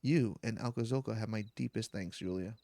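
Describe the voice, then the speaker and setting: A man's voice: lowish voice, fairly quick, warm tone, close to an everyday voice. A man voicing a courteous, formal official in a game, reading solemn dialogue aloud. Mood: solemn gratitude. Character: courteous, formal official giving thanks and praise.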